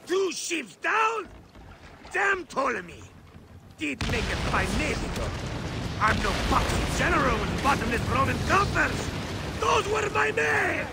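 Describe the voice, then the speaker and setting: Boisterous older ship captain, very high and animated, jovial swagger with wry asides, game cinematic. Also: male voice, a little slow, creaky, loud music behind the voice, exaggerated voice. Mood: fierce, rousing. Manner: theatrical, jovial, bellowing between wry asides. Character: boisterous, roguish older male sea captain with swaggering humour. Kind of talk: video game cutscene acting.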